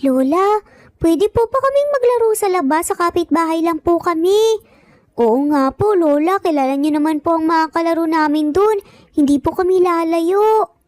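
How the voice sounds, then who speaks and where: A woman's voice: extremely high pitch, lively intonation, normal speed, a little mellow, no echo, clean studio sound. A woman voicing an eager, earnest young boy in a dramatized story. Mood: cheerful, polite. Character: young triplet boys, eager and earnest little brothers.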